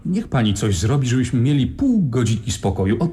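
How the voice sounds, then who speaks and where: A male actor plays a smooth, quietly bossy crook in a radio drama. His voice is mellow and mid-pitched. His intonation moves freely as he persuades. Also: sing-song, brisk, natural voice. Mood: coaxing. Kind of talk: Polish radio crime drama acting.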